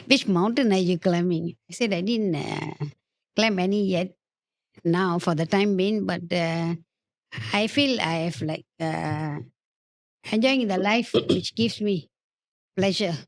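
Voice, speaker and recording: A woman's voice: mid-range voice, no echo. An older woman speaking as a guest, cheerful and carefree about her life. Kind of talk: candid conversation.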